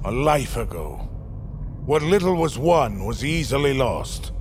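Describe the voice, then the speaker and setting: Gravelly, blustery old brawler. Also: male voice, medium pitch, sing-song, measured pace, slight background noise. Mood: subdued. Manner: blustery, grumbling, speaks in curses. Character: gruff, weary old brawler and ex-fighter, male. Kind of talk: audio fiction drama acting.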